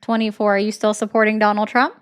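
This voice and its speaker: A woman's voice: medium pitch, little pitch movement, fast, smooth. A woman hosting a livestream call-in show, debating callers with pointed, sarcastic, repeated questions. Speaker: livestream host taking callers.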